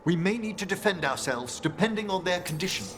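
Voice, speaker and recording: A courtly, animated scholarly professor. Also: male voice, sits high, a little fast, noisy background. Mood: grave, pitying. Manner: courtly, formal, eloquent. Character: courteous, scholarly gentleman necromancer professor, older man. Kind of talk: video game character acting.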